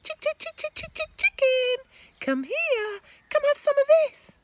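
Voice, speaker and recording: A woman voicing an earnest young boy in a storybook, calling out loudly and insistently. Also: very high voice, big pitch swings, unhurried, slight background noise, pitched above the performer's own voice, exaggerated voice. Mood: coaxing.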